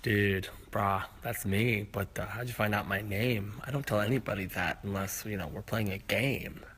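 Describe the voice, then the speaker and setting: A man's voice: fairly low pitch, moderate pace, a bit bright, slightly rough. A man voicing a laid-back stoner hippie with a dazed, slangy surfer-dude drawl. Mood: sheepish. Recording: noisy homemade phone clips.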